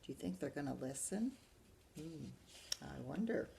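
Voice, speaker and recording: A woman with a fairly low voice reads a picture book to children. She is expressive, like a librarian at story time, and breaks off to put questions to her listeners. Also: unhurried, vocal fry, slight background noise. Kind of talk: children's story read-aloud with asides to young listeners.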